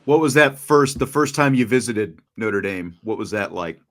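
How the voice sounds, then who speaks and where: A man's voice: lowish voice, expressive, moderate pace. A man, a radio announcer, conducting a friendly conversational interview and often restarting his questions.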